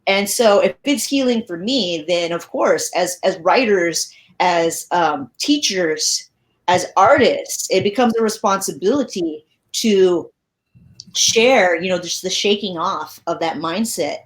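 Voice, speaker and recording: A woman on a video-call panel speaking in a measured, thoughtful way. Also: lowish voice, expressive, poor audio. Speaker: panelist poet. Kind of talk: casual panel discussion.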